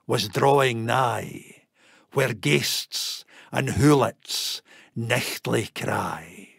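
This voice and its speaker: A Scottish man reciting a narrative poem in Scots in a theatrical, deliberate, emphatic storytelling manner. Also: medium pitch, creaky, no room sound, studio quality.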